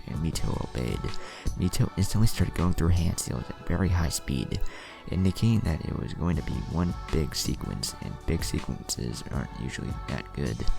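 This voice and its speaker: Male narrator, extremely deep and fry-laden, scripted storytelling over a music bed. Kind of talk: scripted fan fiction narration.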